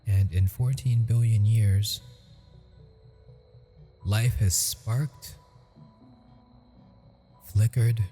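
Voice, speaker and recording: A tender, teasing ghost boyfriend, voiced by a man, murmurs in an unhurried, intimate hush. His voice sits low and very warm, mellow rather than bright, as if confiding secrets in an ASMR roleplay. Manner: soft, intimate, chuckling often. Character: gentle, teasing male ghost lover, centuries-wise.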